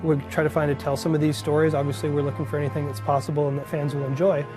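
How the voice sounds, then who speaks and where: Clear music plays behind a man giving an interview. His voice is a little high for a man, and he talks reflectively, as a developer discussing work. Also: little pitch movement, very fast. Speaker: franchise developer.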